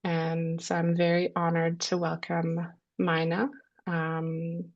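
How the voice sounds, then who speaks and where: A woman with a low voice and a cold, hosting a webinar and introducing its speakers in a measured way with frequent ums. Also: vocal fry. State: has a cold. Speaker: webinar host. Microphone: video call. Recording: lo-fi video call audio.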